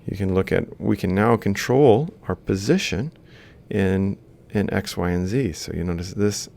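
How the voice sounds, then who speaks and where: A man's voice: deep voice, a little slow, creaky, darkish tone. A man lecturing informally, explaining a worked example step by step as he writes. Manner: explains step by step while writing. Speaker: lecturer in robotics.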